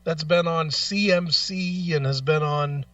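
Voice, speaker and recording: A man's voice: on the high side, a little slow, a bit bright, dry recording, recorded from a distance, highs cut off. A man hosting a talk show, speaking casually and bluntly with opinions, swearing freely and sounding exasperated and emphatic.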